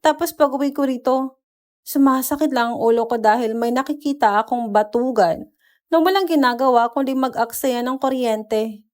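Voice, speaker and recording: A woman's voice: high-pitched, moderate pace, a little mellow, voice pushed up, lightly acted. A woman voicing a catty young wife who complains and mocks in a whiny way in a dramatized story. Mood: whiny wheedling. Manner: complaining, mocking, wheedling. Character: catty, whiny young wife belittling her sister-in-law.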